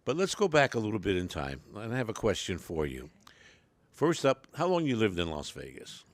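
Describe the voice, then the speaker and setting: A man hosts an interview in a measured, unhurried way and pays his guest compliments as he asks his questions. His voice is low, and it sounds dry and close. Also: huge pitch swings, dry recording. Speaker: show host. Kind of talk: interview hosting.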